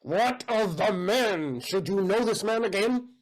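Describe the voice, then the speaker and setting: A male actor plays an imperious, jealous nobleman in a period stage comedy. The delivery is haughty and theatrical. The pitch moves widely from phrase to phrase. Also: expressive, unhurried, crowd noise, low-quality recording. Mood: demanding. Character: jealous, imperious count, suspicious of his servant. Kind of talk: comic period stage acting.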